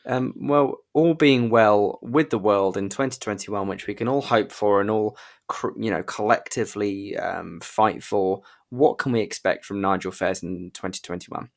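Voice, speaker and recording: A man's voice: lowish voice, moderate pace, vocal fry, hardly any pauses. A man hosting an informal interview in an effusive, encouraging way. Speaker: interview host.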